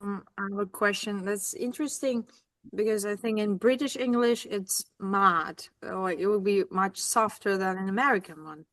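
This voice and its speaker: A woman's voice: mid-range voice, steady tone, normal speed, fairly bright tone. A woman taking part in an online workshop, politely asking questions over a video call. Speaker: workshop participant.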